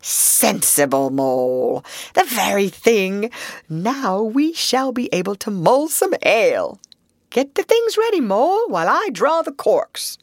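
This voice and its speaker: A woman voicing a male water rat from a storybook, hearty and bustling, with a brisk, commanding and approving manner. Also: medium pitch, sing-song, slightly rough, dry recording, clean studio sound, pitched above the performer's own voice, full character voice.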